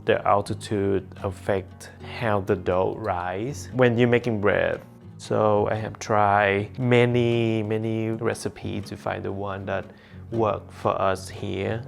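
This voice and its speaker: Slow, gravelly-voiced man. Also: on the low side. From Vietnam. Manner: calm, unhurried, gently reflective. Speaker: home cook. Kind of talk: cooking demonstration with personal storytelling. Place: home kitchen.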